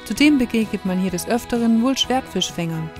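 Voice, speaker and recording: A woman's voice: a little high, expressive, fairly quick, a little mellow. Woman narrating over music. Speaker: vlog narrator. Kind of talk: scripted travel narration.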